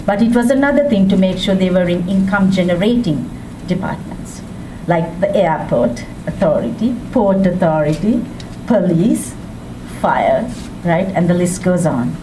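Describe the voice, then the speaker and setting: A woman from Sri Lanka giving a public speech as a women's rights advocate, with a measured, deliberate delivery and emphatic rises. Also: fairly low pitch, expressive. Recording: distant mic with background noise.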